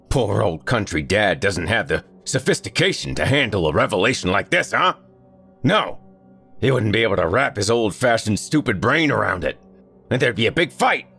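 A man voicing a stubborn, loud middle-aged country father who grumbles and blusters. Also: fairly low pitch, sing-song, moderate pace, vocal fry, husky, soft background music, pitched above the performer's own voice, exaggerated voice. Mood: indignant.

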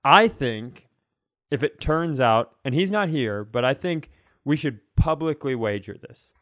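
A man's voice: medium pitch, animated, measured pace, a bit bright, no echo, clean studio sound. A man co-hosting a comedy podcast, bantering casually in a wry, mock-serious way.

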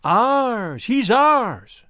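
A man's voice: high voice, big pitch swings, extremely slow, a bit bright, no room sound, clean studio sound, exaggerated voice. A man voicing a chorus of eerie, possessive, gloating spirits in an audiobook, chanting in sing-song repetition.

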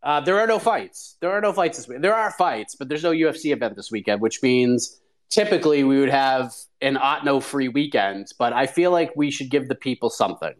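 A man's voice: mid-range voice, expressive, brisk, rough recording. A man hosting a live call-in show, talking casually with lots of fillers. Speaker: host.

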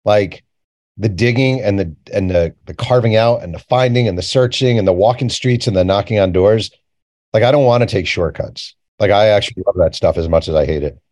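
A man with a fairly low voice, recorded dry with no room around it. He talks like a writer being interviewed, candid and colloquial, leaning on repetition to make his point. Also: fairly quick, darkish tone, no room sound. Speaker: author and journalist guest. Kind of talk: casual conversational interview.

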